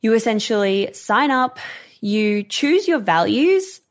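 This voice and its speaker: A woman hosting a podcast in an upbeat, chatty way, her voice a bit croaky. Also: fairly high pitch, big pitch swings, takes it slowly. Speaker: podcast host. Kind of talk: casual podcast monologue.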